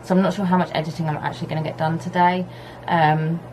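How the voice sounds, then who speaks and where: A woman chatting casually to camera at home with a husky, hoarse voice, rambling in an easygoing way. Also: deep voice, little pitch movement, fast. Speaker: vlogger. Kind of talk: casual vlog chat to camera. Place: home on a hot day, fan running. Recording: faint background hum of fan. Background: fan and pressure washer outside.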